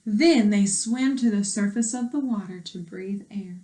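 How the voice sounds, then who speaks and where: A woman teacher reading a book aloud to young children, deliberately, with explanations and questions for her listeners. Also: medium pitch, warm tone. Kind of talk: read-aloud lesson with commentary and questions.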